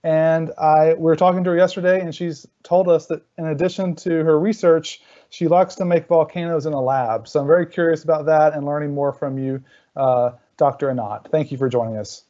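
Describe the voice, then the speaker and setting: A man hosts an online session and introduces the next speaker in a chatty way, correcting himself as he goes. His voice is warm and mellow and sits a little high for a man. It comes through a muffled, lo-fi video call. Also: fairly even intonation, brisk. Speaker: event host. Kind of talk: casual introduction. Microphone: laptop webcam video call.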